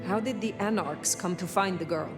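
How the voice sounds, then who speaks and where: An actress playing a reserved, formal vampire elder in a video game, speaking in measured phrases. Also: female voice, lowish voice, over music, natural voice. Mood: measured, purposeful.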